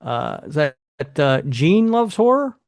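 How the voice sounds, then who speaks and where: A man's voice: fairly high pitch, very animated, unhurried, gravelly, dry recording, studio quality. A man hosting a podcast, chatting casually and announcing things in a hesitant, sweary way. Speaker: podcast host presenting awards.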